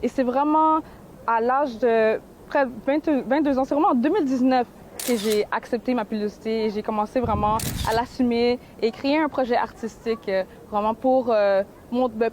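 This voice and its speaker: A woman's voice: a little high, fairly quick, a little mellow, slight background noise. A young woman in her twenties with a Québécois accent gives an earnest personal testimony, with frequent hesitations. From Montreal, Canada. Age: 20s. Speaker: activist and painter. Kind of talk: personal testimony in a video clip.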